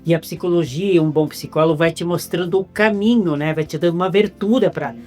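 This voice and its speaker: A Brazilian woman hosts a casual interview with a notably low voice. She talks at length, stringing ideas together. Light background music plays. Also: brisk, a little mellow. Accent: Brazilian Portuguese. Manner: talkative, lists ideas at length. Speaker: interview host.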